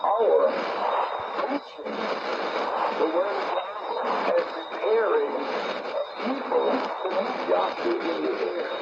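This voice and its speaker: Muffled shortwave reception, American man, high-pitched voice, earnest religious broadcaster, declamatory delivery. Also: expressive, slow pace, creaky. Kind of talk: radio sermon and sign-off address to listeners. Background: shortwave static and hiss.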